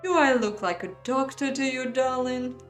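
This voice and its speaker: A woman's voice: sits high, moderate pace, fairly bright tone, soft background music, voice pushed up. A woman voicing an arrogant young man, a teasing, dismissive aristocratic fiancé in a visual novel. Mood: exasperated, sarcastic.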